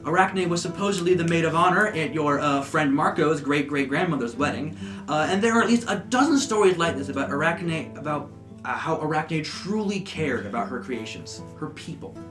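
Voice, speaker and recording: A man running a tabletop game as game master, narrating in a relaxed, rambling way. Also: a little high, fairly quick. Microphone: desk USB microphone. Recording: roomy with background music.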